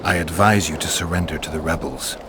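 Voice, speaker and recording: An actor playing a stoic, world-weary monster hunter in a video game, terse and deadpan in a deep, gruff voice. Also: male voice, fairly quick, lightly acted. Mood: matter-of-fact. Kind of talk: video game character acting. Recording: clear background ambience noise.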